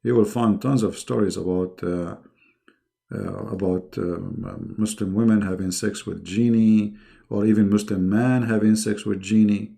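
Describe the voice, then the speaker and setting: A man's voice: lowish voice, fairly even intonation, a little slow, vocal fry, dark tone. A middle-aged man who speaks English as a second language hosts a live online debate in a mocking, emphatic, sarcastic way. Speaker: debate host.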